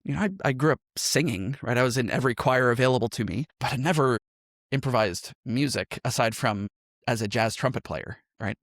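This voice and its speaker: A man's voice: medium pitch, fairly quick, a bit bright, no room sound, studio quality. A man telling his story as a podcast guest in a conversational, reflective way, often checking in with a "right?" as he explains. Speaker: podcast guest, improv teacher and game master.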